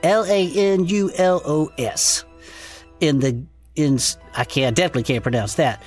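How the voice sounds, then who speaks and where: A performer playing a folksy, excitable male podcaster in a comedic audio drama, reading strange reports aloud with incredulous asides. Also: mid-range voice, normal speed, soft background music, close to an everyday voice. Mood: amused, incredulous. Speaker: co-host reading case reports.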